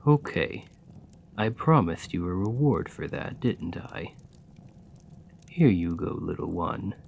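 Man acting a slow, gentle, low-voiced gravedigger. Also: gravelly, a little hiss or hum, heavily acted. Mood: fond, playful. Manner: soft, soothing, encouraging, unhurried. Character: kind, gentle gravedigger, patient and playfully fond. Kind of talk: ASMR roleplay, scripted comforting monologue.

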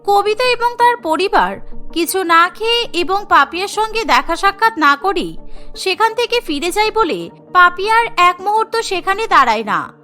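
A woman narrating a cartoon story in a plain, even storytelling manner. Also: very high voice, moderate pace, faint music behind.

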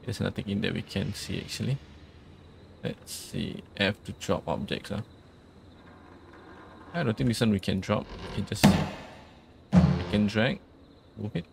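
Low, creaky-voiced man doing game commentary. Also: a little slow, long pauses, darkish tone, slight background noise. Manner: murmured thinking aloud, reads on-screen instructions. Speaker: gaming video host. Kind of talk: casual let's play commentary.

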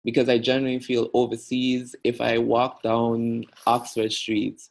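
A young man with an Antiguan accent answering interview questions in a measured, reflective way. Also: mid-range voice, completely flat delivery, gravelly. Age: young adult. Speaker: podcast guest. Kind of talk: thoughtful interview talk.

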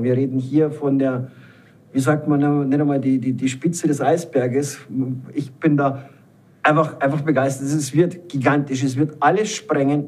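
A man in his fifties giving an enthusiastic, emphatic network marketing pitch, repeating words for stress. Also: a little mellow, breathy edge. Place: indoor event room, panel seated around a table.

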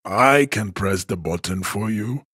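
A haughty, grandiose strongman from a video game, speaks very slowly in a low, husky voice. He declaims like a condescending ideologue, pompous and full of contempt. The recording is dry and close. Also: expressive, fairly bright tone, dry recording, full character voice. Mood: pompous scorn. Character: haughty, towering male race-theorist strongman, contemptuous and grandiose. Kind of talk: scripted video game character dialogue.

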